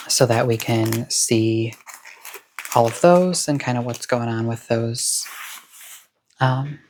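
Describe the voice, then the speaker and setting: Soft-spoken, even-toned vlogger with a soothing delivery. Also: mid-range voice, slight background noise. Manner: chill, rambling, encouraging. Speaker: host of a planner and stationery channel. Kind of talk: casual cozy chat to camera.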